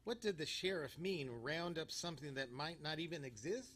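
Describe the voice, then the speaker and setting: An actor playing a hapless, griping urban cowboy with western talk in a live radio comedy sketch. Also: male voice, on the high side, normal speed, fairly bright tone, slight background noise, close to an everyday voice. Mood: grumbling. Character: Rusty, grumbling hapless male urban cowboy.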